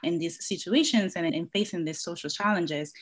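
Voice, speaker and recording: Lower-voiced woman from the Dominican Republic. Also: moderate pace, slightly rough. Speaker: podcast guest. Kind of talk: casual interview.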